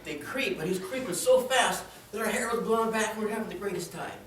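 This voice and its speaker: A man's voice: high-pitched, lively intonation, fast talker, fairly bright tone. A man telling a comedic tall tale live, in a theatrical, dramatic manner with exclamations and acted-out quotes. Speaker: storyteller in a tall tales contest. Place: indoor room before a live audience. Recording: echoey room, distant mic, noisy.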